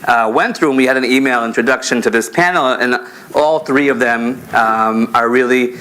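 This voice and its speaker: A man's voice: medium pitch, normal speed, pushed and bright, clean tone, poor audio. A man from Israel in his thirties moderating a panel informally, with a hesitant, joking way of introducing speakers. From Israel, born in America. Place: conference panel before an audience.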